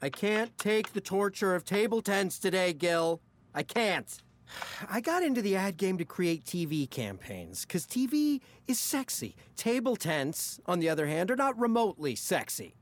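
Comedic audio drama: cynical, melodramatic office best friend, foul-mouthed and needling, very animated intonation. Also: male voice, high-pitched, measured pace. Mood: exasperated, melodramatic. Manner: sardonic, melodramatic, profane.

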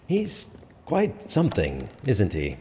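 An actor playing a firm, measured sheriff who speaks formally and with authority in a medieval audio drama. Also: male voice, fairly low pitch, exaggerated voice. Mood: reflective, weary. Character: commanding, measured sheriff with some compassion. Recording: long hall reverb, faint ambient noise.

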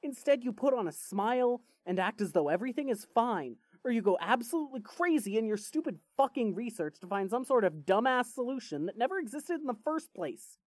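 A woman playing a grieving, foul-mouthed, defensive young man in an audio drama, with halting, vulnerable delivery. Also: on the high side, big pitch swings, darkish tone, no echo. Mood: bitter, frustrated. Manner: halting, swearing, raw and vulnerable. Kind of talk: fan fiction audio drama acting.

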